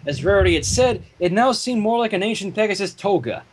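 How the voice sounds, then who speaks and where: A man reading a story aloud in an animated narrating style, with joking asides and a husky voice. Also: sits high, brisk. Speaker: fan fiction reader.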